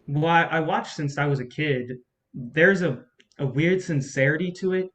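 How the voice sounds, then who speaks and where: A man's voice: fairly high pitch, normal speed, a bit bright, natural voice. A man in a staged play reading, playing an earnest, self-effacing young assistant with a hesitant, sincere way of talking.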